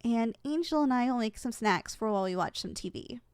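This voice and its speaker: A woman's voice: on the high side, expressive, brisk, noisy background. A woman voicing a young slime succubus in training, affectionate and competitive, in a sweet, coaxing ASMR roleplay style that is easily flustered. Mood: flustered, earnest.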